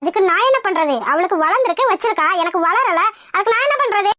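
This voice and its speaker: Exasperated young granddaughter, talking back, high voice, woman acting. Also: expressive, quick pace, pushed and bright, dry recording, clean studio sound, heavily acted. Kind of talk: comedy skit.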